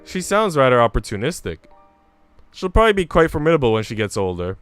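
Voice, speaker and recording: A man voicing a wry, gently teasing, sympathetic woman companion in a visual novel. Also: mid-range voice, sing-song, quick pace, clean tone, dry recording, faint music behind. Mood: teasing, reassuring. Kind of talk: visual novel read aloud.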